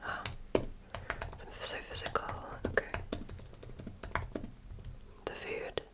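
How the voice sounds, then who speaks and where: Slow whispering woman in ASMR role-play. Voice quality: soft breathy whisper. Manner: gentle, measured, attentive. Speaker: ASMR performer playing a medical examiner.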